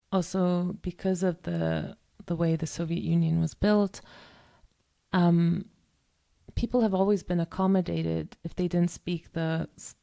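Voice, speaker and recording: A woman's voice: lowish voice, vocal fry, warm tone. A woman co-hosting a discussion podcast, talking in long, thoughtful stretches full of "um" and "you know". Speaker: podcast co-host.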